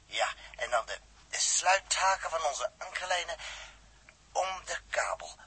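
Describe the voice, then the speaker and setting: Wry astronaut in old radio play, super raspy, slightly high male voice. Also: animated, a little slow, bright, forceful tone, full character voice, low-quality recording. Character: blunt, wry male spaceman crewmate. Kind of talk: 1960s Dutch radio drama acting.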